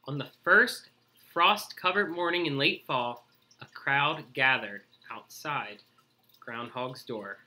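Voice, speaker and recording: A man narrating a children's storybook aloud in an expressive read-aloud style. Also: medium pitch, takes it slowly. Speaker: story reader.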